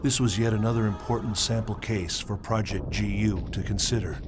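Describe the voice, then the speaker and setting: A man giving scripted, dramatic narration of a game story in a measured, solemn manner. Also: on the low side, steady tone. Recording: music under the voice.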